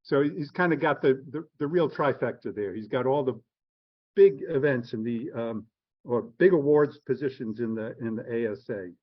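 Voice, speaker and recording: A man's voice: mid-range voice, normal speed. Earnest man introducing a speaker. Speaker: event host introducing the speaker. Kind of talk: formal introduction. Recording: dull lo-fi sound.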